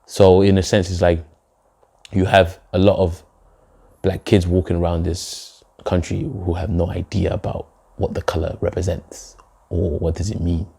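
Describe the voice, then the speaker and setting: A young man from Denmark, a poet, giving reflective, measured interview answers. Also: deep voice, gravelly, warm tone, slightly rough. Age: young adult. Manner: reflective, measured, with filler phrases. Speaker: poet and guest. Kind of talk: spoken word poem, then interview answers.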